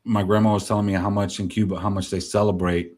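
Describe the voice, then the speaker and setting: Casting: deep, warm-toned man in his forties or fifties, Spanish as his first language, chatty and rambling guest. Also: level tone, fast. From New Jersey, US. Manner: rambling, filler-heavy, candid. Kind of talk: casual interview chat.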